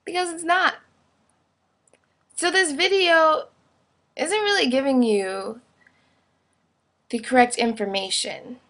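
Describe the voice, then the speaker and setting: Young adult female vlogger, slightly high voice, casual and opinionated, blunt informal delivery. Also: steady tone, takes it slowly, pauses often, a bit bright. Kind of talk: casual opinionated video monologue.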